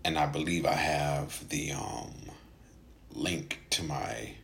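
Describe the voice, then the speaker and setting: A man who stutters, speaking casually in a halting personal monologue with frequent gaps and hesitations, as a podcast host. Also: very deep voice, creaky, fairly bright tone.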